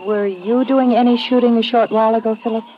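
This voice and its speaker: A woman's voice: on the high side, fairly even intonation, quick pace, close to an everyday voice. An actress playing a composed, guarded married woman on a jungle expedition in an old-time radio drama. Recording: dull old radio recording, faint noise.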